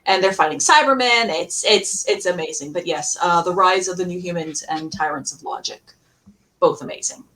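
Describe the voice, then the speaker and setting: A woman's voice: fairly low pitch, normal speed, fairly bright tone, low-quality recording. Gushing, emphatic woman on a fan podcast. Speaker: podcast co-host. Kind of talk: casual fan panel discussion.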